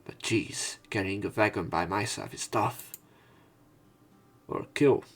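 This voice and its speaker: A man's voice: fairly low pitch, slow pace. A man reading visual novel narration aloud as a let's player, with casual commentary. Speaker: let's play streamer.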